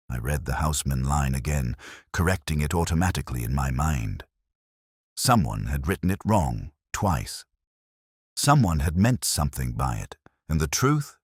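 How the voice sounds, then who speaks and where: A man acts out a brooding male detective narrating a mystery drama in an extremely low voice. His delivery is measured and solemn, yet the pitch moves expressively through the literary phrasing. The sound is dry and close, with no room around it. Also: slightly rough, no echo, full character voice. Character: brooding, introspective male English police detective recounting a case. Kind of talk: scripted first-person detective story narration.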